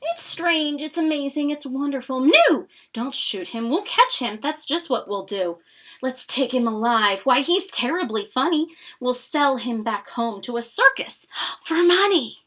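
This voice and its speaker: Woman acting greedy male hunters, storybook, exclamatory, high bright delivery, wide swings in pitch. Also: sing-song, a little slow, heavily acted. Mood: amazed, scheming. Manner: exclamatory, shouting.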